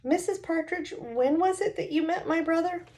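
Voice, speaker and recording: A woman's voice: very high voice, moderate pace, a little mellow, voice pushed up. A woman reading the part of an insistent teenage girl in a storybook, asking pointed questions.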